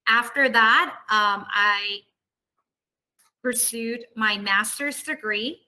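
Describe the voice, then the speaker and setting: A woman's voice: on the high side, sharp tone, low-quality recording. A woman professor teaching an online class in a casual, conversational way, explaining things and often checking for agreement, heard over a video call. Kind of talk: casual, conversational lesson to students.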